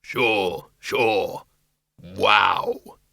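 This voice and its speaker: A man voicing a huge hairy bogeyman from a storybook, friendly and a little slow-witted, talking in an easygoing, amiable way. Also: sits low, creaky, bright, forceful tone, no echo, clean studio sound, voice pushed down, exaggerated voice.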